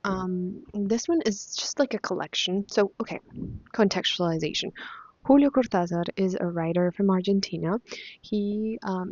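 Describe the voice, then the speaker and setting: A woman's voice: mid-range voice, a little mellow, no room sound, lo-fi sound. A young woman whose first language is Spanish, chatting casually about books she has read, with many fillers and restarts. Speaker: vlogger. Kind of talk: casual book review chat.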